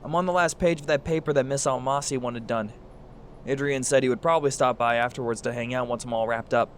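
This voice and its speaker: A man playing an earnest, vulnerable teenage boy in an audio drama, with a halting delivery. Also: medium pitch, a little hiss or hum, close to an everyday voice. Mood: casual.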